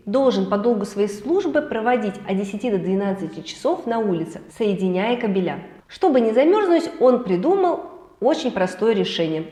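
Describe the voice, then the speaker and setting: A woman hosting a weekly celebrity and travel news roundup, hoarse from a cold, lively and chatty with personal asides. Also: medium pitch, reverberant. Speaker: news show host.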